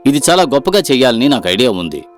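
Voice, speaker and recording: A man's voice: medium pitch, sing-song, fast talker, faint music behind, close to an everyday voice. A man voicing a confident, encouraging businessman in a cartoon moral story, speaking in a businesslike way. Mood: businesslike, enthusiastic.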